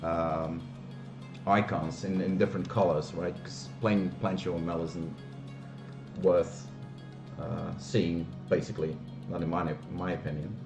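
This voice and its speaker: A man from the Flemish part of Belgium, a native Dutch speaker with a Flemish accent, walking through software on a casual live stream and hesitating often with fillers and restarts. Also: deep voice, little pitch movement, unhurried, reverberant, over music.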